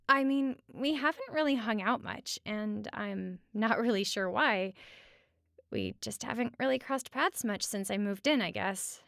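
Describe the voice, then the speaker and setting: A woman playing a friendly, reassuring roommate in a conversational comfort roleplay, speaking gently and casually at regular volume. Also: on the high side, vocal fry, dry recording, clean studio sound, full character voice. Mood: friendly, inviting. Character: friendly, reassuring young female roommate offering company.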